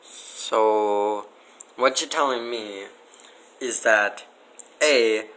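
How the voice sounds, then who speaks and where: A man as a podcast guest in a casual debate, skeptical and argumentative, with halting delivery. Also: on the low side, fairly bright tone, rough recording.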